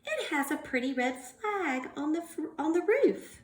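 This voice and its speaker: Storybook husband bird, female reader: bright-hearted, eager, very lively high delivery, unhurried. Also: roomy sound. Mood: hopeful, pleased. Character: cheerful male bird husband, contented, eager, simple. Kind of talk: storybook read-aloud for young children.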